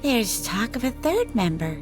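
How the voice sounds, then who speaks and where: A woman voicing a playful, flirtatious woman, a vampire's lover, in an audio drama, teasing and unhurried as she tells her stories. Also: fairly high pitch, big pitch swings. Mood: teasing, confiding. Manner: teasing, unhurried storytelling with frequent laughter. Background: background music.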